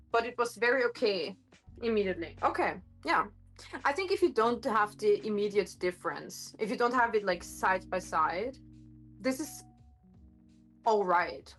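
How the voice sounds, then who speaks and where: A woman streamer chatting casually in a home kitchen, her voice a little gruff today, rambling with lots of fillers and self-corrections, picked up by a phone microphone. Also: fairly high pitch, fairly bright tone. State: slightly gruff voice. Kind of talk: casual live-stream chat. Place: home kitchen with hard countertops.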